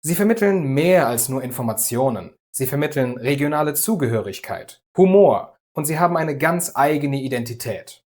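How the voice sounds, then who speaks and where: A man from the Düsseldorf area hosting a language lesson, explaining calmly and clearly in a scripted way. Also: mid-range voice, normal speed, hardly any pauses. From Düsseldorf area, Germany. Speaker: language teacher, host.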